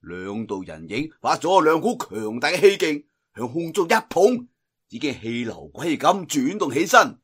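A man's voice: a little high, sing-song, normal speed, a bit bright, breathy edge. A man with a Cantonese accent narrating a martial arts tale in a theatrical, colloquial storytelling style. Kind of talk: dramatic scripted wuxia story narration.

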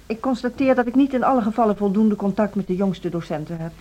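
An actress playing a composed, businesslike music school directress in her fifties, speaking formally and in measured phrases in a radio drama. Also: mid-range voice, lively intonation, warm tone, dull audio, lightly acted. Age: middle-aged. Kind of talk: naturalistic radio drama dialogue. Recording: radio play with clear background noise.

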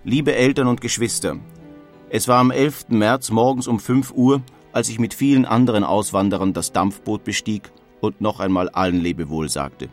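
A man's voice: on the low side, normal speed, soft background music, lightly acted. An actor playing a plain-spoken German emigrant who earnestly reads his old-fashioned letter home in a radio feature. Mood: earnest recounting. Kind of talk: dramatised reading of historical letters.

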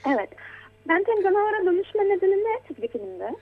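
An adult woman talking conversationally as a programme guest, encouraging and gently explaining things as if to a child. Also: very high voice, big pitch swings, fast talker, no room sound. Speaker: guest commentator on children's media. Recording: tinny, phone-like narrow band.

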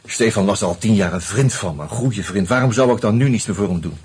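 An actor playing an earnest, troubled man turned amateur sleuth in a Dutch radio play, insistent and halting as he reasons aloud. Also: fairly low pitch, a little mellow, breathy edge. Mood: insistent, agitated. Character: earnest, agitated amateur sleuth, a murdered man's loyal friend.

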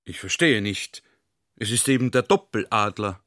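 An actor playing a courteous, cautious military general with formal, ornate politeness in a radio play. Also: male voice, fairly low pitch, lively intonation, normal speed, a bit bright, dry recording, clean studio sound. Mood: cautious, dismissive.

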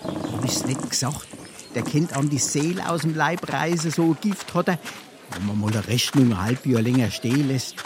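An actor playing a wily, penniless sponger in a Darmstadt dialect stage comedy, wheedling with theatrical mock courtesy. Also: male voice, mid-range voice, lively intonation, normal speed, background noise, lightly acted. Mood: sly, chatty. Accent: Hessian, Darmstadt dialect. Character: wily, penniless sponger, a glib charming idler.

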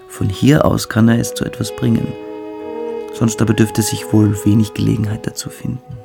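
A cruel young cadet with a low, gravelly voice. He talks deliberately and with cold superiority, savouring his menace in a radio play, with music underneath. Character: Beineberg, cold, calculating, cruel military boarding-school cadet. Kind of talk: German radio drama dialogue.

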